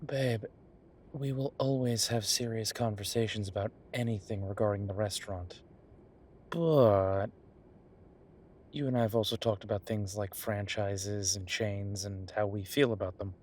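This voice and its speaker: A man playing an easygoing, devoted boyfriend who is a small-town chef, talking casually and haltingly in an intimate, reassuring roleplay audio. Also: fairly low pitch, steady tone, darkish tone. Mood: earnest, reassuring. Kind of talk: intimate conversational roleplay acting.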